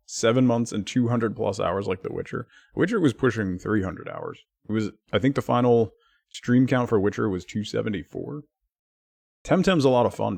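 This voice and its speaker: Low-ish gravelly guy streaming, casual chat w/ viewers, dry mic. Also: no room sound. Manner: chatty, thanks viewers by name, lively swings in pitch.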